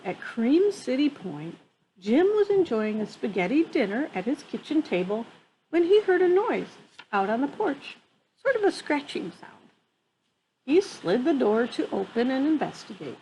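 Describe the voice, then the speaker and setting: A woman in her fifties reads a picture book aloud in an expressive way, pausing for casual asides about the pictures. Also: fairly high pitch, rough recording. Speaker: storyteller reading a picture book to children. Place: outdoor wooden deck among trees. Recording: clear background noise, outdoor ambience.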